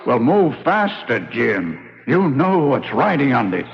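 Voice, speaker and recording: A man's voice: medium pitch, sing-song. An actor playing a stern, impatient male admiral in a 1970s radio drama, commanding and clipped. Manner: clipped, commanding, drawn-out firing orders. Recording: muffled 1970s radio, long reverb, faint music.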